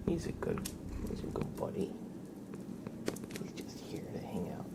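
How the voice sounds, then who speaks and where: A man livestreaming ASMR, chatting softly and playfully and often dropping into whispers. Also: unhurried. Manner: playful, mock-serious, doting talk about and to a pet. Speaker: ASMR live streamer.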